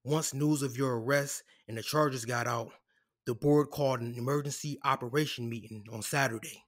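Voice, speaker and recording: A man playing a composed, apologetic corporate lawyer in an audio drama, speaking in a measured, formal way. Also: medium pitch, fairly even intonation, close to an everyday voice. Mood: matter-of-fact. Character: composed, apologetic corporate defense lawyer delivering bad news.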